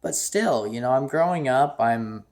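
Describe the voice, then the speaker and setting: A man's voice: medium pitch, lively intonation, a little slow, a little mellow. A nineteen-year-old man from the United States giving a casual, rambling vlog update, full of ums and restarts. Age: young adult. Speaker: YouTuber.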